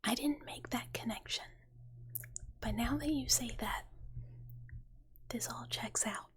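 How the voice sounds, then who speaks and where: A woman livestreamer chatting with viewers in a playful, cutesy ASMR style, in a breathy whisper. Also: a little high, slow, fairly bright tone.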